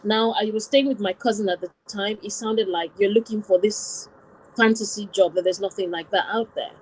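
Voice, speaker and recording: A woman's voice: mid-range voice, normal speed, slight background noise, low-quality recording. A woman born in Wales and raised in Nigeria, telling her personal story step by step as an interview guest, in a reflective way. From born in Wales, raised in Nigeria from about nine.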